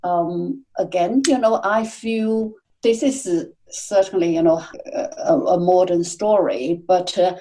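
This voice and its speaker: A woman guest on a podcast talks slowly in a mellow, low-ish voice. She is soft-spoken and hesitant, often pausing on fillers. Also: low-quality recording. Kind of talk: casual interview chat.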